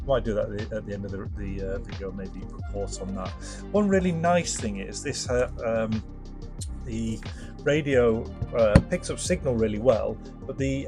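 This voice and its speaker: A man giving a casual product demo and review, explaining step by step in a hesitant, unscripted way. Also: mid-range voice. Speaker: presenter of a vintage radio restoration channel. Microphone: microphone set up for the demo.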